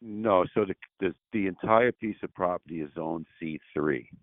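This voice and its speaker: A man's voice: deep voice, normal speed, no echo. A man in his sixties, a property owner talking casually on a call, rambling and restarting his sentences, laughing easily. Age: 60s. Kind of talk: casual phone conversation. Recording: tinny phone line.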